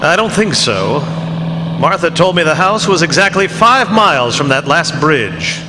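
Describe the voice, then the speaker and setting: Casting: man for suave young gentleman detective, lightly high voice, vintage radio play, echoing hall sound. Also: big pitch swings, a little slow. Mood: explanatory. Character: urbane, knowing young gentleman sleuth. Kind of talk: 1940s-style radio drama acting. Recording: noisy, reverberant outdoor sound scene.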